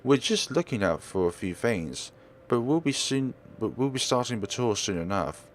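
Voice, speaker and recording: A man's voice: medium pitch, lively intonation, moderate pace, slight background noise, natural voice. A man voicing a young female real estate agent in a visual novel, earnest, insistent and protective. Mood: polite.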